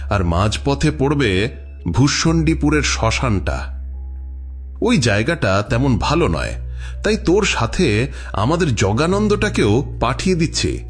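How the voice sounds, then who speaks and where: A man playing a bold, protective village exorcist father in a horror cartoon, theatrical, emphatic and husky. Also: medium pitch. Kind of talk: dramatised horror cartoon dialogue.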